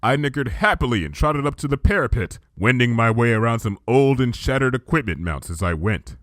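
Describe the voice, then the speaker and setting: A man reading a first-person story aloud as an expressive narrator. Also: medium pitch, a little fast, no room sound, clean studio sound. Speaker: fan fiction narrator and reader.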